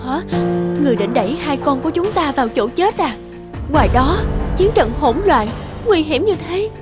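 A woman's voice: high voice, sing-song, normal speed, over loud music. A woman playing an anxious, protective queen mother in a cartoon fairy tale, formal and dramatic. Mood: alarmed.

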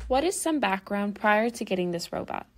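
A woman's voice: mid-range voice, expressive, moderate pace. A young woman hosting a podcast, reading out scripted interview questions.

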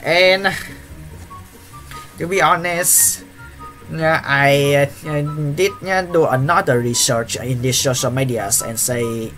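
A man's voice: medium pitch, slow, fairly bright tone, soft background music. A young man whose first language is Vietnamese, telling a story on his vlog with lots of fillers and restarts, recorded on a webcam in a small room. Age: young adult. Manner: hesitant, many fillers and restarts. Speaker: YouTuber.

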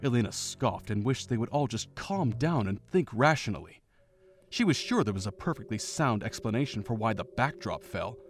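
A man narrating a fan audio drama in a steady storytelling way. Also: medium pitch, slight background noise.

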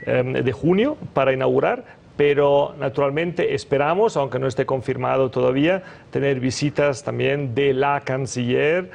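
Man, gravelly measured speech. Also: medium pitch, a little mellow. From Germany. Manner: measured, hesitant with frequent "em" fillers. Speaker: ambassador guest. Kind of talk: TV morning show interview. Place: TV studio.

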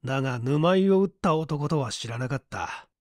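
A man's voice: fairly high pitch, expressive, moderate pace, no echo, clean studio sound, close to an everyday voice. A man voicing an easygoing, whimsical male detective with a nonchalant, wry manner in an audiobook story. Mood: matter-of-fact. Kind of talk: Japanese audiobook character acting.

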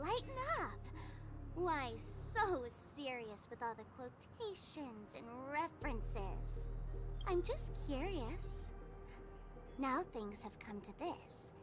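Playful taunting young trickster woman, game voice, really high and swoopy, theatrical. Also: sing-song, slow, exaggerated voice. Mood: teasing. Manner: teasing, sly, theatrical. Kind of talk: video game voice acting. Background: game music.

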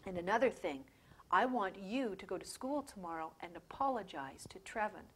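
Patient storybook dad, calm and instructive, read by a woman narrator. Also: mid-range voice, moderate pace, slight background noise, voice pushed down, natural voice, poor audio. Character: calm, gentle father giving a lesson.

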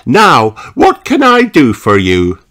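A man voicing a cheerful, helpful female rabbit from a children's storybook, high-pitched with a folksy manner. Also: wildly swooping pitch, takes it slowly, a bit bright, exaggerated voice. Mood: friendly, folksy.

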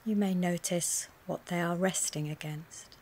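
A woman wellness coach leads a guided mindfulness body scan in a gentle, soothing, measured way, speaking in short phrases with pauses. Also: lowish voice, steady tone. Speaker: wellness coach guiding a relaxation session.

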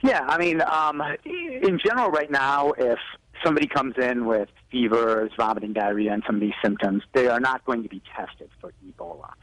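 A man's voice: mid-range voice, expressive, moderate pace, a bit bright, no room sound, low-quality recording. A man speaking as a doctor in a live telephone news interview, explaining health advice and often saying "you know". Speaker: physician guest expert on a news segment. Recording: telephone interview audio on TV broadcast.

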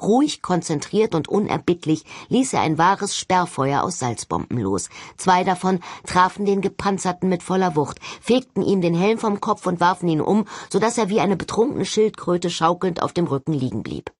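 Low-voiced woman narrating an audiobook. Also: animated, moderate pace, few pauses, slightly rough, no echo. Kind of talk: expressive scripted fiction narration.

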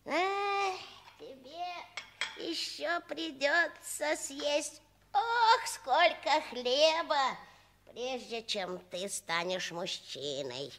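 A woman's voice: high-pitched, lively intonation, extremely slow, fairly bright tone, full character voice. An actress playing a kindly, grumbling old woman in a radio play, sighing and muttering as she draws out her words. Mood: fussing, kindly. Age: older. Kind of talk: 1960s Soviet radio play acting.

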